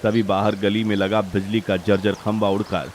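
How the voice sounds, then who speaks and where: Lowish-voiced male story narrator. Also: flat delivery, moderate pace, a little hiss or hum. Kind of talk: dramatic scripted cartoon narration. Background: background music.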